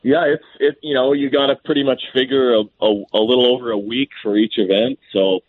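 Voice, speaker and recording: A Canadian man in his thirties, hoarse and scratchy from a cold, talking casually and rambling a bit as a guest. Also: mid-range voice, steady tone, a little fast. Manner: rambling, easygoing, restarts often. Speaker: professional bass angler, guest. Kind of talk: casual phone interview. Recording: tinny phone line.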